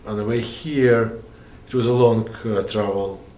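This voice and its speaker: Low slow russian guy being interviewed, lots of pauses, echoey room. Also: fairly even intonation, a little mellow. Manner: halting, with long pauses. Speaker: puppeteer interviewee. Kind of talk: documentary interview. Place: indoor puppet theater, seated interview. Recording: roomy echo with faint noise.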